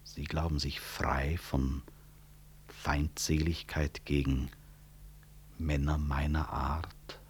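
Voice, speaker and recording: An actor playing a proud, composed middle-aged physician and professor in a 1960s radio play, formal and measured, husky, with grave irony. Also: male voice, very deep voice, flat delivery, a little hiss or hum, heavily acted. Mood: wounded, pointed.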